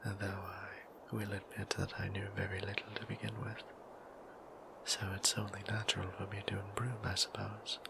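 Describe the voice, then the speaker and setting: A man playing a gentle, courteous vampire priest in an intimate audio roleplay, speaking in a breathy whisper with formal, old-fashioned phrasing. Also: completely flat delivery, moderate pace, background noise. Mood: fond, pleased. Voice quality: breathy whisper with creaky low tone.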